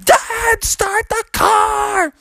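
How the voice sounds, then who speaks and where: A storyteller voicing an excitable, panicky young boy frog with an urgent squeal. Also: very high-pitched, extremely slow, creaky, dry recording, studio quality, pitched above the performer's own voice, full character voice. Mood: panicked.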